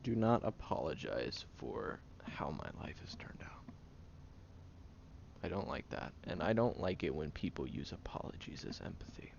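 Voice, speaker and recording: Man acting a prickly tsundere guy, very low voice, heavy fry, halting, stern but caring, intimate roleplay, muffled recording. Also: very animated, whispered, exaggerated voice. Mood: exasperated, earnest. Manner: halting, stern yet caring, often dropping to a hush. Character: guarded, prickly tsundere young man nursing a sick friend. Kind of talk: intimate audio roleplay to the listener.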